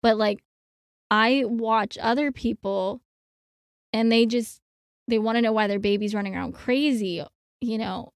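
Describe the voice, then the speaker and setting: A woman's voice: on the high side, fairly even intonation, a little slow, pauses often, smooth, no room sound. Woman in her thirties, casual co-host, talks in loose run-on stretches, close dry mic. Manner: chatty, rambling with many fillers. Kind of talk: casual chat.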